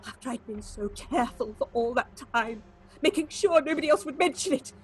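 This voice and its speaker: A woman's voice: high-pitched, animated, fairly quick, husky. A woman playing a guilt-crazed, jealous actress in a theatrical horror drama, ranting melodramatically as she confesses. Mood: manic, bitter.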